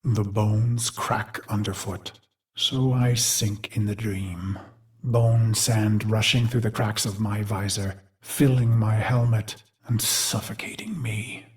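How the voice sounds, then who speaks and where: A man playing a grim, self-loathing warrior in a video game, speaking in a solemn, brooding, deliberate monologue. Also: on the low side, fairly even intonation. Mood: grim, bitter. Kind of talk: dramatic scripted game monologue.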